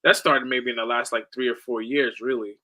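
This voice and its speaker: A man's voice: mid-range voice, fairly even intonation, normal speed, bright, forceful tone. A man in his late twenties from the Netherlands who moved to the US as a child, chatting casually about sports with lots of slang and swearing. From Netherlands, moved to the US at ten. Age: late 20s. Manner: slangy, swearing, says "bro" often. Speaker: sports watch-along streamer.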